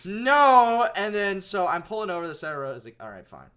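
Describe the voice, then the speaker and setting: Young male podcast co-host, a touch high, animated, rambling storyteller. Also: fast talker, smooth. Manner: animated storytelling with swearing and restarts. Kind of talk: casual chat.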